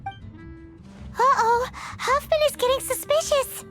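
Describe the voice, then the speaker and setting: Squeaky, excitable little fairy girl. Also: slow, faint music behind, heavily acted. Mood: alarmed. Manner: exclamatory, refers to herself in third person. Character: small excitable childlike floating fairy companion girl. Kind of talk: video game voice acting.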